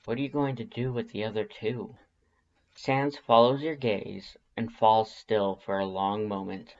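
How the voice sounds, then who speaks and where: A man reading fan fiction aloud as expressive scripted story narration. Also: lowish voice, a little slow, highs cut off.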